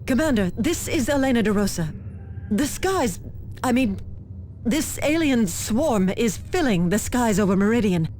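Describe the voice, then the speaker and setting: A woman's voice: fairly high pitch, animated, unhurried, darkish tone, slight background noise. A woman playing an earnest, formal planetary official under siege in a science fiction game, speaking precisely. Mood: anxious, contrite. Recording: clear music behind the voice. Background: game music.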